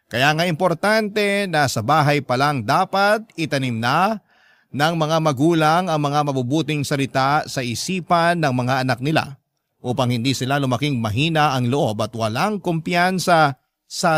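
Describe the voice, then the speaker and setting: Casting: male Filipino radio storyteller, heartfelt scripted letter reading, fairly high voice, very dry close studio sound. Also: a bit bright, no room sound. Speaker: radio drama narrator reading listener's letter. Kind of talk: scripted heartfelt first-person narration.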